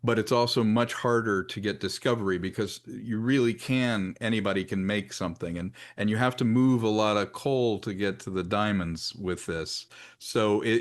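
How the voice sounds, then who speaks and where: A middle-aged man speaking informally and emphatically on a panel, often restarting the openings of his sentences. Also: lowish voice. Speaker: panelist, audio drama creator.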